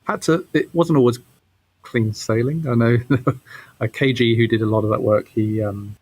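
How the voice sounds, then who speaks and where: A man giving casual interview answers as a game developer, halting and often repeating his words. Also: mid-range voice, fairly even intonation, darkish tone.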